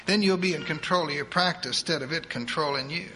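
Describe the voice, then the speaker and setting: A woman's voice: low-pitched, fast, fairly bright tone, a little hiss or hum, rough recording. A man voicing a bossy, devout older woman, in a preachy sing-song manner. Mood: bossy chirpy.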